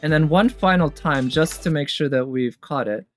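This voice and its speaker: A man's voice: on the high side, normal speed. A man giving casual live commentary as a streamer, enthusiastic and thinking aloud in fragments. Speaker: streamer reviewing game trailers. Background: game trailer music.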